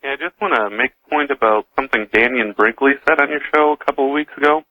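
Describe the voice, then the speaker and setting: A man calling in to a radio show, giving his opinion informally and a bit haltingly. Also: mid-range voice, sharp tone. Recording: muffled lo-fi radio call.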